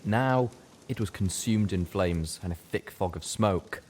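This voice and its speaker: A man's voice: sits low, unhurried, darkish tone, a little hiss or hum. A man reading a scripted story aloud as an audiobook narrator. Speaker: audiobook reader.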